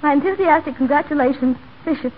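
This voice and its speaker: A woman's voice: high voice, fast talker, phone-quality sound, close to an everyday voice. An actress playing a loyal, anxious woman friend in an earnest, theatrical 1940s radio drama style. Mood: careful. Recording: thin old radio recording, music underneath.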